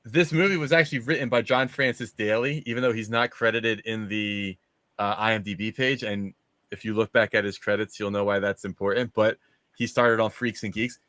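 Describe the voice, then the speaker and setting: A man's voice: on the low side, fairly even intonation, fairly quick, fairly bright tone, dry recording. A man hosting a casual panel discussion about movies, steering the conversation. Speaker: host of a movie discussion show.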